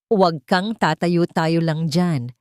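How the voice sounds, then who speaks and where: A woman's voice: fairly low pitch, takes it slowly, darkish tone, clear, resonant tone, no echo, studio quality. Bossy older mother-in-law, voiced by a woman. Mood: bossy, scolding. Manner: commanding, scolding.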